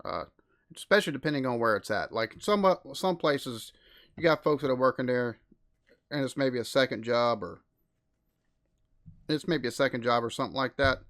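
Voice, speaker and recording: A man's voice: medium pitch, normal speed, long pauses, fairly bright tone. American man, reaction host, rambling and exasperated commentary. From United States. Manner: rambling, exasperated, lots of fillers. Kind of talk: casual commentary.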